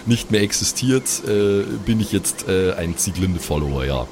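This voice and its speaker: A man's voice: low voice, lively intonation, moderate pace, background noise. Man chatting as a tabletop player. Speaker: player in a tabletop role-playing game. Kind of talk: casual improvised table talk.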